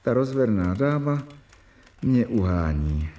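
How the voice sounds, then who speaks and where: An exasperated young doctor. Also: male voice, on the low side, sing-song, dark tone. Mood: reflective. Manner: earnest, exasperated, reflective. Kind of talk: radio drama with first-person narration.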